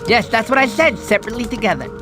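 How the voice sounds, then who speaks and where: Goofy cartoon sloth, game storyteller. Lisping, excitable, bumbling, very animated delivery over music. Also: male voice, extremely high pitch, racing. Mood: cheerful, narrating. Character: goofy, good-natured male cartoon sloth telling bedtime stories.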